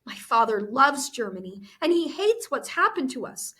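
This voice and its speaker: A woman's voice: sits high, big pitch swings, normal speed, pushed and bright, pitched above the performer's own voice, exaggerated voice. A woman voicing an angry, hurt twelve-year-old girl in a book read-aloud, accusing and emphatic. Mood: indignant.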